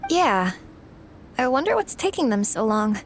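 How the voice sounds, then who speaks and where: A woman's voice: on the high side, very animated, measured pace, background noise. A woman voicing a diligent, practical young team helper in an anime game, with a busy, methodical manner. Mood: puzzled. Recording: faint music behind.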